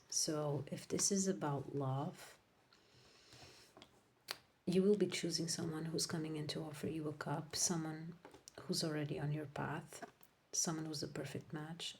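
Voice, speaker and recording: A woman whose first language is Portuguese, giving a casual tarot reading to viewers, rambling and correcting herself, often dropping into soft whispers. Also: low-pitched, creaky. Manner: drifts into soft whispers while laying cards. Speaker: tarot reader.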